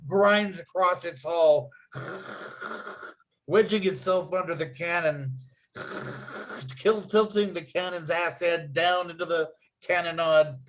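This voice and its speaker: Male script narrator, higher-pitched, punchy read of stage directions and scene headings. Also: takes it slowly, pauses often, poor audio. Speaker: writer narrating a table read.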